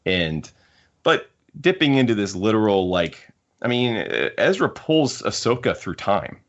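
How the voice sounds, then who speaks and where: A man's voice: lowish voice, big pitch swings, unhurried, lo-fi sound. A man co-hosting a podcast in casual fan discussion, musing aloud and circling back to rephrase his points. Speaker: podcast co-host.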